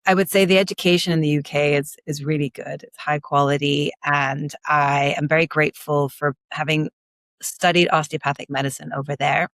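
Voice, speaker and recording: A woman osteopath from Belgium, raised in London, with a blended American, Belgian and British accent, explaining her work in measured terms in a podcast interview. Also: low-pitched, fairly even intonation, a bit bright, no room sound. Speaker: manual osteopath guest.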